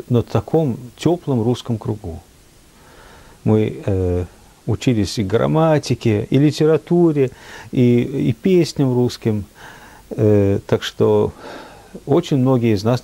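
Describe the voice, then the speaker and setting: An older man from France reminiscing in a reflective, unhurried way, often pausing with hesitations. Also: mid-range voice, vocal fry, dark tone, slightly rough. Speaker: speaker at a memorial. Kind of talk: reminiscing talk.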